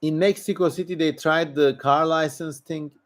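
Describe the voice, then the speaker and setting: A man's voice: a little high, fairly even intonation, moderate pace. A man with a Turkish accent hosting a casual livestream, answering viewers in an opinionated, emphatic, rambling way. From Turkey. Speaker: livestream host.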